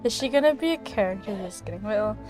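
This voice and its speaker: A woman's voice: medium pitch, expressive, fast. A woman doing casual playthrough commentary as a gaming streamer. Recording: game music under the voice. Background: video game music.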